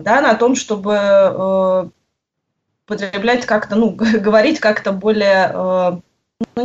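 A woman answering interview questions, pausing often and searching for her words. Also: medium pitch. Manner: hesitant, searching for words with frequent pauses. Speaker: guest. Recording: muffled lo-fi audio.

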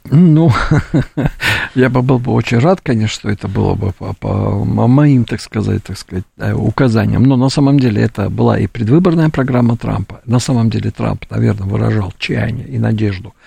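A man with a very gravelly, dark voice gives opinionated political commentary as an interview guest. He speaks haltingly and keeps checking that the listener follows. The sound is dry, as in a studio. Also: fairly low pitch, no echo. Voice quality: gravelly, husky. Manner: halting, peppers speech with appeals to the listener. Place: radio studio.

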